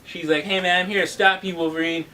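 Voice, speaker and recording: A man's voice: high-pitched, little pitch movement, fast, sharp tone. A young man co-hosting a casual comic review chat, laughing often and acting out characters' lines. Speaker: comic review podcast co-host. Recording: distant mic with faint background hiss.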